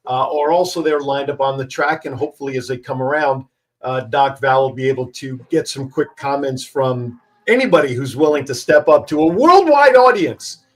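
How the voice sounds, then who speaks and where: A man's voice: medium pitch, moderate pace. A man hosting a livestream in a chatty, informal way, giving casual live commentary. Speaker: host of a livestream show.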